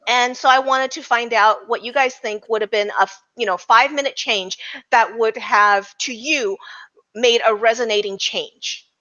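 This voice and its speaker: A woman's voice: a little high, fairly even intonation, normal speed, bright, forceful tone. A woman hosting a casual movie review discussion, speaking in an opinionated, emphatic way and repeating phrases to make her point. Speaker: podcast host leading a roundtable. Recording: dull, lo-fi audio.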